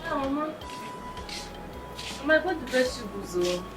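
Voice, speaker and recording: An actress as a devoted Nigerian teenage granddaughter with a fairly high voice. She talks earnestly and affectionately to her grandmother in a drama skit. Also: expressive, unhurried, loud music behind the voice. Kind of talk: Nollywood-style drama skit.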